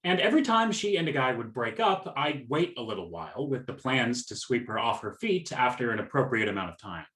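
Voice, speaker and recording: A man's voice: medium pitch, very animated, brisk, few pauses, fairly bright tone. Man acting a flustered customer, echoey room. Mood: confiding. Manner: hesitant, self-deprecating. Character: flustered, awkward, lovelorn male flower shop customer. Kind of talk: radio play acting. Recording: roomy, echoing sound.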